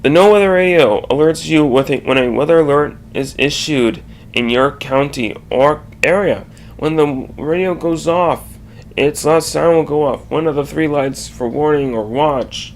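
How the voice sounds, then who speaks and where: A man narrating a scripted slide presentation in a halting way, often stumbling and correcting himself. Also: fairly high pitch, slight background noise.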